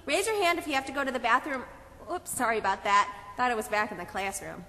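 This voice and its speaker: A woman's voice: fairly high pitch, fast, pushed and bright, long reverb tail. A woman playing an effusive, prim children's teacher in a Christmas puppet show. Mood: effusive, bossy. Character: gushing, chatty female church children's teacher.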